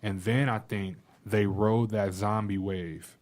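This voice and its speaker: A man's voice: fairly low pitch, fairly even intonation, takes it slowly, darkish tone. A man in a casual podcast conversation, speaking bluntly and colloquially with emphatic repetition. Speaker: podcast panelist. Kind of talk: casual chat.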